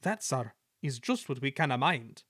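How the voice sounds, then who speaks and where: Man doing sly Scottish twin engines, high, bouncy storybook voices, dry sound. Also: big pitch swings, normal speed, fairly bright tone, no room sound. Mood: cheeky. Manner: sly, sing-song, high. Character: two cheeky Scottish male twin engines.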